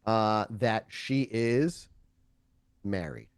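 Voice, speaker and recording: Halting male game master, close dry sound. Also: on the low side, clean tone, no room sound. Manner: halting, conversational, self-correcting. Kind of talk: improvised narration of a tabletop roleplaying game.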